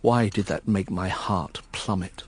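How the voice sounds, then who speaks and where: A man's voice: low-pitched, a little slow, slight background noise. An actor playing a wry, articulate, embittered man who narrates his own story in a radio drama. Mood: bitter. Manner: wry, articulate, educated phrasing.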